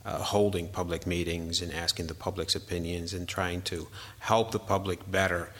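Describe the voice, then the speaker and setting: A man's voice: low-pitched, fairly even intonation, normal speed, fairly bright tone, in a large hall. A man in his fifties, originally from Syria, answering interview questions as a structural engineer, hesitant with many fillers.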